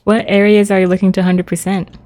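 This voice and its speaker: A woman's voice: mid-range voice, flat delivery, brisk, a little mellow. A woman livestreamer chatting casually with viewers as she plays a video game. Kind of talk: casual livestream chat with viewers while playing.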